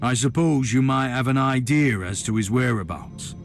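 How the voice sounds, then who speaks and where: An actor playing a stern, zealous imperial inquisitor in a video game, speaking in a formal, righteous, declamatory way. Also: male voice, mid-range voice, normal speed, natural voice. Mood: stern, measured. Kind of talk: video game cutscene dialogue. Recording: loud music behind the voice. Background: loud game music.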